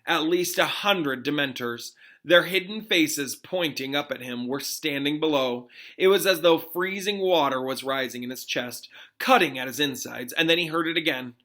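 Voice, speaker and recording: Male teacher for dramatic read-aloud: bright, forceful, slightly high voice, suspenseful delivery. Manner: expressive, suspenseful, pauses to question listeners. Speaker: teacher reading aloud to students.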